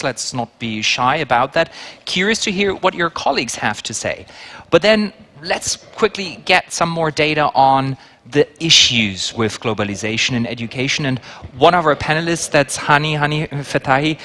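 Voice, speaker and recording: A man's voice: on the high side, pushed and bright, slight background noise. A man moderating a panel discussion in an informal manner, with joking asides.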